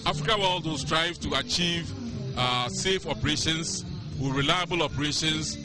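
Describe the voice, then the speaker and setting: A man's voice: a little high, normal speed. A man being interviewed at a festive event, jovial and joking between answers. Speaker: airline executive interviewee. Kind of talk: on-location interview. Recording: music playing behind at an event.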